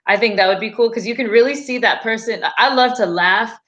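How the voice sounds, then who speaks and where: Early-30s woman guest, giggly and chatty, bright higher voice. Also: a little fast, highs cut off. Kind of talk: casual livestream chat.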